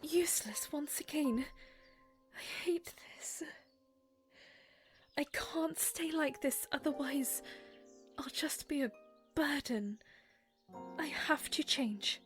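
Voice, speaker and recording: A woman voicing an earnest young princess who talks quietly and falters, in a fan audio drama. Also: high-pitched, takes it slowly, pauses often, faint music behind. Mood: frustrated.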